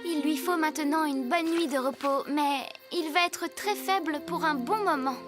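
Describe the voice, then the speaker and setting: Woman voicing a very high, gentle young girl. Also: moderate pace, natural voice. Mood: caring. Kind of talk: dubbed cartoon acting. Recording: loud music under dialogue.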